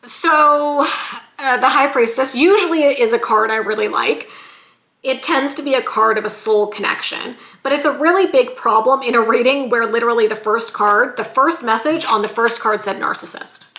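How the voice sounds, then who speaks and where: A woman reading tarot in a chatty, sassy way, often saying "you guys," with a slightly hoarse voice. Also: fairly high pitch, moderate pace, fairly bright tone, echoey room. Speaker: tarot reader. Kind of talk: casual tarot reading vlog.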